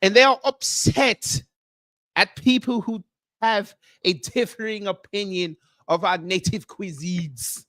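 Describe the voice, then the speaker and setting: Guy doing a grand nigerian prince bit, high voice, slow and formal, super dry mic. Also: fairly bright tone, no room sound. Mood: grandiose. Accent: put-on Nigerian. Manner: grandiose, formal, slow and deliberate, greets viewers one by one. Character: pompous jet-setting self-proclaimed Nigerian prince, adult man. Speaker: livestream host. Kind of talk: comedic character livestream.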